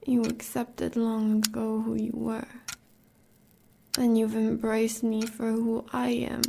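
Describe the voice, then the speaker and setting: A woman's voice: fairly high pitch, monotone, slow pace, vocal fry, background noise. An American woman playing a fierce, devoted huntress in an audio roleplay, with intense, formal, dramatic speech. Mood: fervent, devoted. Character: devoted, fierce huntress lover of a werewolf villain.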